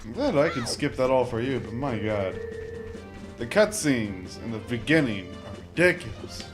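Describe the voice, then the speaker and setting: A man's voice: medium pitch, animated, normal speed. Rambling young man over game music. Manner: rambling, informal, mock-exasperated asides. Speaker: gamer, Let's Play host. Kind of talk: casual gameplay commentary. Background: video game music.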